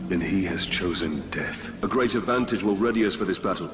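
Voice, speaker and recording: A man voicing a grim low-voiced ranger. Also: fairly quick, very noisy, close to an everyday voice. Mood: resolute. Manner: terse, resolute plotting. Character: grim human ranger, vengeful and determined. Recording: game audio with music.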